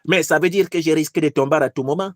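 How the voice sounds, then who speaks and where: A man's voice: fairly high pitch, quick pace, darkish tone, no room sound, lightly acted. Casting: male performer as nervous, superstitious ruler clinging to power, stammering, repetitive, political satire, dry sound. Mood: alarmed, stammering. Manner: stammering, repeats words. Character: anxious ruler obsessed with keeping power, superstitious.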